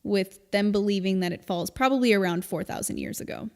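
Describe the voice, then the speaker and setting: A woman co-hosting a podcast, explaining things in casual, informal chat with an animated storytelling manner. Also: mid-range voice, brisk. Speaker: podcast co-host. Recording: long reverb, hall-like room.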